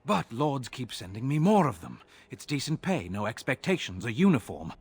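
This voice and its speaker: An actor playing an exasperated, sarcastic city guard officer in a fantasy video game, grumbling gruffly in a husky voice. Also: male voice, medium pitch, big pitch swings, normal speed, no echo.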